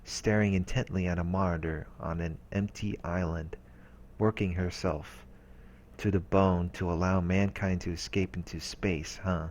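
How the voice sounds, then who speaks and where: A man's voice: sits low, steady tone, measured pace, slight background noise. A man reading visual novel text aloud as a let's play host, in a narrating style. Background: soft game music.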